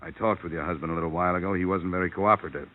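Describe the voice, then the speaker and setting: A man's voice: low-pitched, steady tone, rapid-fire, narrow, tinny audio, close to an everyday voice. A man with an American accent acting a cool, persistent insurance investigator in an old-time radio drama, speaking in a dry, clipped, matter-of-fact way. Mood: calm, firm. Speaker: lead actor narrating a detective series.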